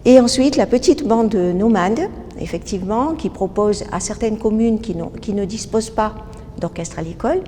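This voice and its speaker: A woman giving explanations in an interview, halting and restarting her phrases as she goes. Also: mellow. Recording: reverberant hall, faint rumbling noise.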